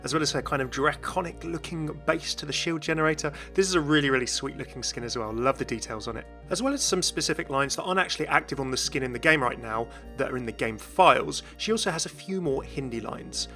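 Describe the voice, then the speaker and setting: A man's voice: a little high, fast talker, hardly any pauses, bright, forceful tone, faint music behind. A man hosting a gaming channel, giving casual, enthusiastic commentary in a voice-over.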